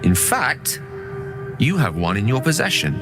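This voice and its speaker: A man's voice: mid-range voice, sing-song, measured pace. An actor playing an earnest, genteel male wizard in a fantasy game, with a formal, wordy, courteous manner. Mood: grave, urgent. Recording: music under the voice.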